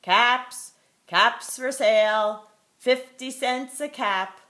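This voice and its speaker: A woman's voice: on the high side, very slow, fairly bright tone. A grandmother voicing a male street peddler who calls out his wares and scolds in a raised voice. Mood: hawking. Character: loud male cap peddler, hawking then angry.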